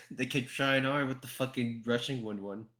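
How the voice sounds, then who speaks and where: A man hosting a casual group podcast, talking in a halting, repetitive way with casual swearing. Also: mid-range voice, crowd noise.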